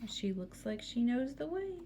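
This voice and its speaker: A woman's voice: a little high, sing-song, moderate pace, dark tone, a little hiss or hum. A woman teacher reading a picture book aloud to students, expressively, with asides and questions for young listeners.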